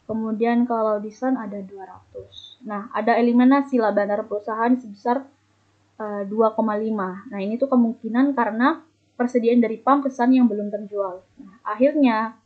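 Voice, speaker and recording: A narrow, phone-like recording carries a young woman with a fairly high voice. She gives an explanatory student presentation, moving step by step and sometimes stumbling as she reads out figures. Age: young adult. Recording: muffled, phone-like narrow band.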